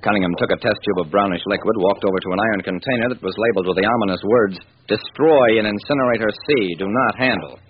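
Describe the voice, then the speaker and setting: An actor playing a wry, hard-boiled private detective narrating an old-time radio drama, on a tinny, noisy recording. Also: male voice, lowish voice, fast talker, hardly any pauses, lightly acted.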